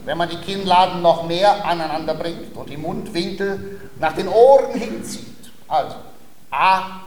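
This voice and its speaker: Radio comedy, male: a pompous, patient tutor, highish pitch, didactic phrasing, in a roomy space with faint noise. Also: measured pace, a little mellow. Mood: patient instruction. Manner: didactic, precise, formal. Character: pedantic, patient male language tutor, self-important. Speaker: actor in a radio drama. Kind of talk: theatrical classic-comedy radio acting.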